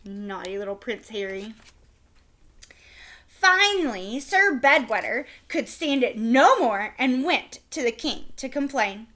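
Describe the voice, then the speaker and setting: Bright, animated woman reading aloud. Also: a little high, takes it slowly. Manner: expressive, animated storytelling. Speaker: teacher. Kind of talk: reading a storybook aloud to children.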